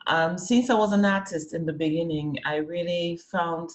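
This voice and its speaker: A woman from Cameroon, a comics artist and festival organizer, answering interview questions over a video call, unhurried, often rephrasing as she thinks. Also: on the low side, steady tone. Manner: speaks slowly and searchingly, with fillers and rephrasing. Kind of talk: remote interview, thoughtful casual answers.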